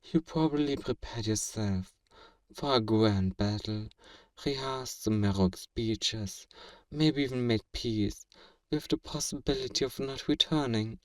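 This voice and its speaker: A man playing a feared fantasy villain in a flirtatious audio roleplay, measured, amused and condescendingly courteous, in an intimate ASMR acting style. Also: medium pitch, natural voice. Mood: teasing, amused. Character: feared male fantasy villain, suave, teasing, flirtatiously obsessive. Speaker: voice actor performing a roleplay. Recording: roomy echo, distant from the mic.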